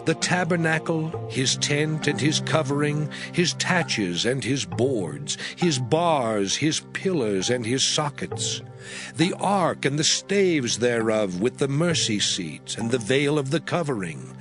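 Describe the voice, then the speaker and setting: A man playing a solemn, commanding biblical lawgiver in a dramatized scripture reading, declaiming in a measured way. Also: medium pitch, animated. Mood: solemn, instructing. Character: solemn commanding male biblical voice giving divine law. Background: music.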